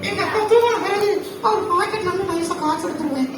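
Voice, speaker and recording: A woman's voice: extremely high pitch, quick pace. A woman voicing a mischievous, cheeky little boy puppet in a children's puppet show, bantering in a childlike way. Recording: echoey noisy hall, distant mic, crowd.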